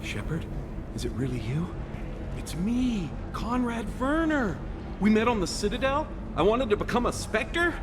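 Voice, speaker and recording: An animated, posturing wannabe space hero. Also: male voice, high voice, measured pace. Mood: excited. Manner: posturing tough-guy bravado, earnest and defensive. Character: delusional male wannabe space hero, bumbling, earnest, swaggering fanboy. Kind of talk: video game voice acting. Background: music.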